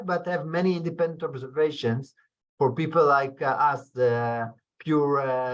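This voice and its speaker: A man in an academic seminar discussion, speaking haltingly with many fillers and restarts, heard over a video call. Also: medium pitch, fairly bright tone, dull audio. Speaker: seminar attendee, experimental researcher.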